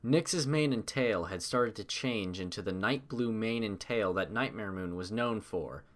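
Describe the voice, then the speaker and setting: A man narrating a scripted story with expressive, dramatic, measured delivery. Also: fairly low pitch.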